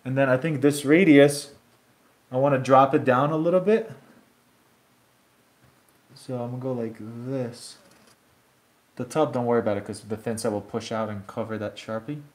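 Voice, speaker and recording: A man in his thirties live-streaming tile work, talking casually in a loose, rambling way with plenty of fillers and restarts. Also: medium pitch. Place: bathroom renovation site and snowy driveway.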